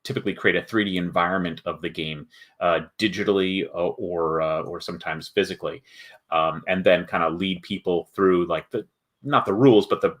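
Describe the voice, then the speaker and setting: A man hosting a casual livestream, chatting with viewers in a halting way as he thinks aloud. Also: low-pitched, fairly even intonation, fairly bright tone. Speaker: host of a board game company livestream.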